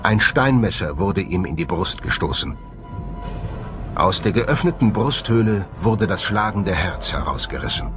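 Man, low voice, measured documentary narration, articulate delivery, prominent music behind. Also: sing-song. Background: prominent background music.

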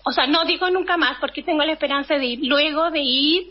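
A woman in her fifties from Chile, a guest telling her life story in a relaxed conversation, acting out scenes from her childhood. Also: high-pitched, brisk, dull audio. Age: 50s. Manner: animated storytelling, acts out her younger self. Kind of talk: casual interview conversation.

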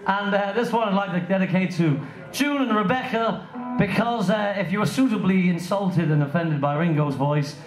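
A man's voice: high-pitched, faint music behind. A man singing lead and joking with the crowd between songs in a tribute band, on a small stage in a hotel function room. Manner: rattles off quick joking patter between songs. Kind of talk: live rock singing and stage banter. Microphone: stage mic heard through a handheld camera in the audience. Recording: echoey room, loud live band.

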